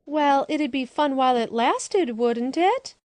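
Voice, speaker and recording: Woman voicing a mischievous little boy, high bouncy voice, chattering, dry sound. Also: animated, slow pace, a little mellow, no room sound. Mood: eager. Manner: eager, chattering. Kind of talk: audiobook character voice.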